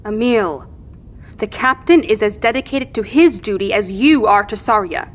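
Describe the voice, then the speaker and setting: A woman's voice: medium pitch, expressive, normal speed, natural voice. A woman playing a firm, no-nonsense peacemaker in an audio drama, speaking directly and articulately. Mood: scolding, firm.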